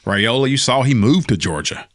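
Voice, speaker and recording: A man's voice: medium pitch, lively intonation, normal speed, fairly bright tone, dry recording. An American man co-hosting a sports show, talking casually and giving commentary on the game.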